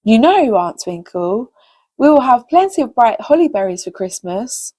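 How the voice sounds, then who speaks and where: A woman's voice: on the high side, very animated, normal speed, mellow, dry recording, clean studio sound. A woman voicing a curious, eager little boy pixie in a children's storybook. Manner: inquisitive, eager.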